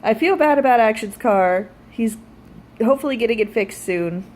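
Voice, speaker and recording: A woman of thirty-two telling stories casually to viewers, animated and wry, with self-deprecating asides and free swearing. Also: on the high side, moderate pace. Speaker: vlogger. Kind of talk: casual storytelling chat to viewers.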